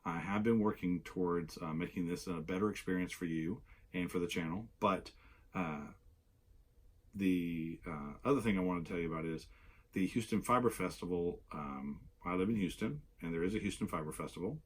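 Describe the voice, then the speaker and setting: A low, husky-voiced man talks casually to his viewers. He is still a little hoarse after losing his voice, and he rambles, with plenty of ums and self-corrections. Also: moderate pace. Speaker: knitting channel host. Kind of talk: casual solo vlog.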